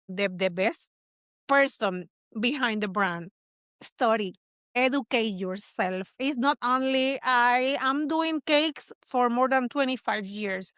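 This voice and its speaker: Puerto rican lady, spanish is her first language, bakery owner, kinda high voice, repeats herself a lot. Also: a bit bright, clear, resonant tone, no echo. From Puerto Rico. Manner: earnest, repeats words and restarts often. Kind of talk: interview answers.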